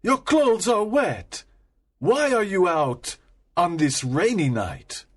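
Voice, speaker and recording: A man reading a graded audiobook, voicing a loud, boastful army sergeant. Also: sits high, big pitch swings, slow pace, breathy edge, pitched above the performer's own voice, exaggerated voice. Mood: gruff.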